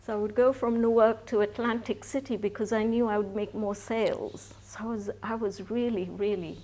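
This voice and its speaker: Woman, 60s, interview guest, chatty storyteller, mid-pitched voice. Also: steady tone, normal speed, warm tone. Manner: chatty, laughs often, frequent restarts. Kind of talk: live stage interview, personal storytelling.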